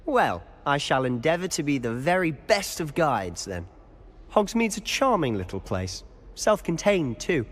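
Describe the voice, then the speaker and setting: A man's voice: a little high, very animated, unhurried, long reverb tail. An actor voicing a confident young male wizard student in a video game, speaking in a polished, playful way.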